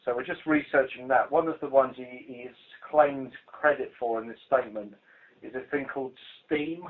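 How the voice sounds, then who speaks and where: A man's voice: mid-range voice, moderate pace. A British man giving an informal work briefing as a police investigator over a telephone line, speaking in a dry, blunt, hesitant way. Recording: muffled phone conference call recording.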